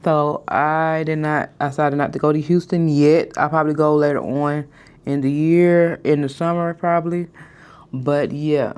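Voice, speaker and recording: A woman in her thirties chatting casually to her followers in a skincare vlog. She stutters at times, mispronounces some words, and slows her speech down on purpose. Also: deep voice, fairly even intonation, a little mellow, smooth. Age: 30s. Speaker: vlogger. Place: hotel room, indoors.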